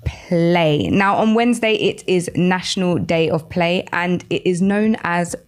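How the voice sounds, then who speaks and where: A woman with a slightly low voice hosts an educational radio programme. She speaks straight to her listeners, putting questions and prompts to them as she presents. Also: unhurried. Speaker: radio show host.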